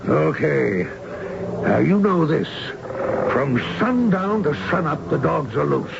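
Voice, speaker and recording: A gravelly, fairly high-voiced man who bosses everyone as a security chief in an old radio drama. He is blunt and domineering, with rough, uneducated grammar, and his pitch jumps about. The recording is muffled and noisy. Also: very animated, takes it slowly, exaggerated voice. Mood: stern, authoritative. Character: gruff, bullying security chief with attack dogs.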